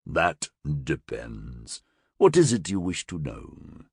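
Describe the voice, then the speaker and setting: A man voicing a gurgling, fanged half-animal male monster, held prisoner and speaking in a taunting, sardonic, drawn-out way. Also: very deep voice, wildly swooping pitch, breathy edge, no echo, studio quality, voice pushed down, full character voice.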